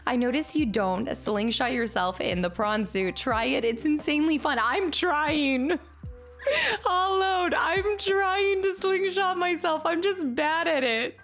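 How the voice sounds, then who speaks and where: A woman streamer chatting casually with her viewers and thanking them, upbeat, her voice a little raspy today. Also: high voice, unhurried, a bit bright, faint music behind. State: slightly raspy voice. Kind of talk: casual stream chat reading chat. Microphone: streaming mic.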